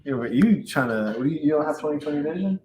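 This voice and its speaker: A man's voice: on the high side, lively intonation, fast talker, darkish tone, roomy sound, slight background noise. A man hosting a casual reaction chat, talking bluntly and teasing his co-host. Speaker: podcast host.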